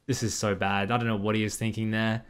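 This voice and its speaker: A young man talks over a game replay in a casual, blunt way, tossing in quick critical asides. He sounds close and direct, as if speaking into a headset from a small room at home. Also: fairly low pitch, flat delivery, smooth. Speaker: streamer commentating a replay.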